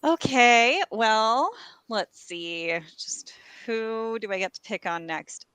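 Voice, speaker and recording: A woman host chats informally between readings, her pitch swinging widely. Her pace is slow and a bit scattered, and the sound is very dry and close. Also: lively intonation, a bit bright, clear, resonant tone, no room sound, low-quality recording. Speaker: host of live reading show. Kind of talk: casual chat.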